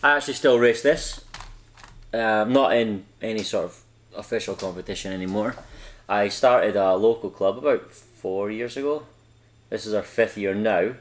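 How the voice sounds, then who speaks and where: A man's voice: on the low side, normal speed. A young Scottish man hosting a hobby video, chatting casually and rambling a little as he corrects himself. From Scotland. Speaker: hobby channel host. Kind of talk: casual unscripted vlog talk.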